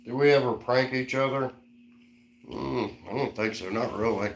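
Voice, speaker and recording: A man's voice: mid-range voice, moderate pace, gravelly, echoey room, slight background noise. A man in his forties co-hosting a casual live-stream chat, easygoing and reading out viewer comments. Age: 40s. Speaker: co-host.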